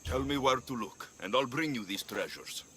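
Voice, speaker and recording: Wry mercenary, bright forceful voice. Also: male voice, mid-range voice, expressive, moderate pace, a little hiss or hum. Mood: businesslike. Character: wry, steady young male Greek mercenary for hire. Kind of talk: video game cutscene dialogue acting. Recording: music bed behind the voice.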